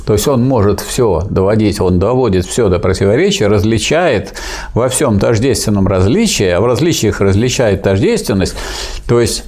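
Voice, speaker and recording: An older man discussing philosophy in a relaxed academic conversation, teaching with questions and frequent fillers. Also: fairly low pitch, moderate pace. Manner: didactic, questioning, with many fillers and restarts.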